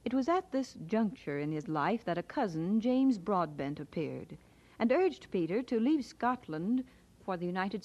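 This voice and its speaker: A woman playing a devoted wife who narrates a life story in a measured, solemn radio drama style. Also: on the low side, a little mellow. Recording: dull vintage radio recording, faint music behind.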